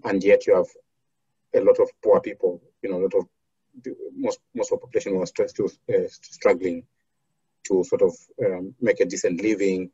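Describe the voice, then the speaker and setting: A man from Zambia, a foundation program officer, answering interview questions in a measured way with many fillers and restarts. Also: low voice, warm tone, husky, dry recording, muffled recording.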